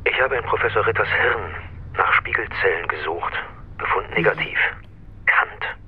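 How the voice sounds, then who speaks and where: Actor as low-voiced man on a noisy call. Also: natural voice. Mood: uneasy. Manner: terse, halting, low-key. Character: uneasy, hesitant male journalist talking to his partner. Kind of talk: radio drama dialogue. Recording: heavy noise, like over a phone call.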